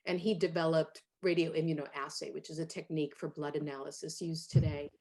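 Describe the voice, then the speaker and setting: Lower-voiced woman telling her story. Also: brisk. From Hungary. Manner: animated storytelling with frequent fillers and laughs. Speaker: interview guest. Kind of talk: conversational interview.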